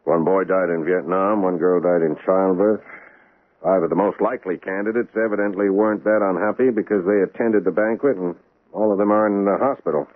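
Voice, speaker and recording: An actor playing a hard-nosed police lieutenant in a 1970s radio drama. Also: male voice, low-pitched, fairly even intonation, fairly quick. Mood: probing. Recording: tinny lo-fi vintage radio recording.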